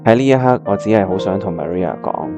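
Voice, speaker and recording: A man's voice: on the low side, animated, a little fast, highs cut off. An actor with a Hong Kong accent playing a lovesick young male pianist, narrating a scripted radio drama in an earnest, melodramatic way. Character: lovesick, heartbroken young male pianist hiding his feelings. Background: piano music.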